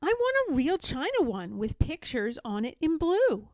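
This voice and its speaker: Woman playing young badger girl, high-pitched, eager, insistent, plain-spoken, storybook character, close dry sound. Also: huge pitch swings, measured pace, darkish tone, no echo, exaggerated voice. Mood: wistful, insistent. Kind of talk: children's storybook read-aloud.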